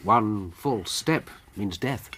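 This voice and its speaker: An actor playing a controlling, ingratiating naturalist in a radio drama, speaking emphatically and politely, with a firm edge. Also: male voice, fairly low pitch, expressive, slow, fairly bright tone, husky, heavily acted. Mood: enthusiastic. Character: excitable naturalist and former schoolmaster, controlling, ingratiating.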